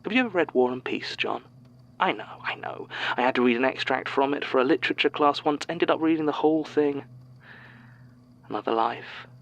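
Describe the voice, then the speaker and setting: A man's voice: medium pitch, creaky, fairly bright tone, poor audio. A man playing a sinister, musing manipulator in an audio drama monologue, theatrical and knowing, with some background noise.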